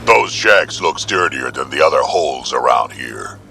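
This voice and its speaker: A gruff squad companion in a video game. His voice is extremely deep and gravelly with a forceful edge, and he sounds hard-bitten. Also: animated, moderate pace, slight background noise, heavily acted. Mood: grim.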